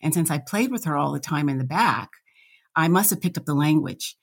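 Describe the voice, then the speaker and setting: A woman's voice: low voice, animated, brisk, breathy edge. A woman in her sixties, an interview guest, speaking reflectively with hesitant restarts. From United States. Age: 60s. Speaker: guest, fashion analyst. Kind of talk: conversational interview.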